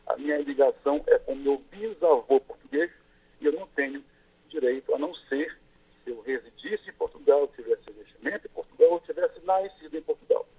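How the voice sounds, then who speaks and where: A man's voice: a little high, husky. Brazilian man on a tinny phone line. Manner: measured, explains step by step with fillers. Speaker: lawyer, interviewed guest. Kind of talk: explanatory interview answers.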